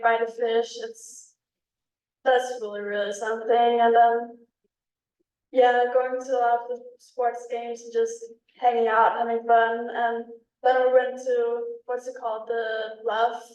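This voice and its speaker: A woman's voice: fairly high pitch, flat delivery, a little slow, darkish tone. A young woman from Denmark with a Danish accent, chatting casually about her exchange year at a club meeting, with plenty of ums and likes. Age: young adult. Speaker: exchange student. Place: meeting room. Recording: echoey room from far off.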